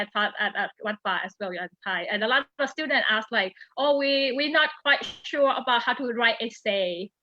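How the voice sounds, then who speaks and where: A woman host asking questions haltingly, with many "uh" and "you know" fillers. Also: fairly high pitch, bright, forceful tone, no room sound. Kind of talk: interview questions. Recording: dull lo-fi sound.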